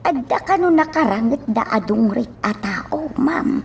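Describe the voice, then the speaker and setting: A woman's voice: on the high side, lively intonation, a little slow, creaky, recorded from a distance, slight background noise. A woman with an Ilocano accent acting a deferential, concerned helper in a radio drama.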